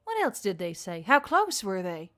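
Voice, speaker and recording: A woman's voice: medium pitch, huge pitch swings, normal speed. A woman voicing a confident young female adventurer, casual and teasing, in an audio story. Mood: curious, cheeky. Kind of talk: audio fiction character acting. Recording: background music under the voice.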